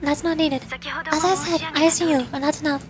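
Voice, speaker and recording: A woman's voice: high voice, fairly even intonation, a little fast, lightly acted. Woman acting a mysterious lady sniper in a game, composed, few words, music behind. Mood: cool, composed. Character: mysterious female military sniper, cool and reassuring.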